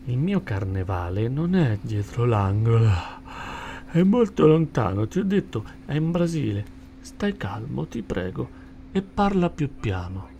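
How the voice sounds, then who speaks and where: A man as a slow, mellow, dreamy sloth. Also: mid-range voice, very animated, slight background noise. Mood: gentle pleading. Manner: slow drawl, gentle. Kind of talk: rhymed children's story character.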